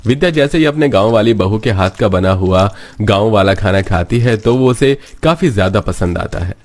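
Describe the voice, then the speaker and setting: कहानी सुनाता पुरुष कथावाचक, कुछ भारी आवाज़. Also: male voice, fairly low pitch, animated, moderate pace. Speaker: narrator. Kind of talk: scripted cartoon story narration.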